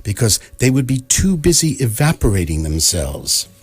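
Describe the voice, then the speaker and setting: A man playing the measured narrator of a comic science fiction radio play, reading scripted narration. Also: mid-range voice, very animated, slightly rough. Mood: dry, measured.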